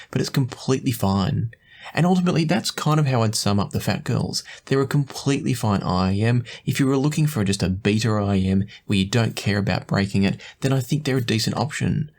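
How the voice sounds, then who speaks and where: A man in his forties hosting a product review, talking to camera in a measured, explanatory way. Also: fairly low pitch, a little mellow. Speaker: audio gear reviewer and channel host. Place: small indoor home studio room.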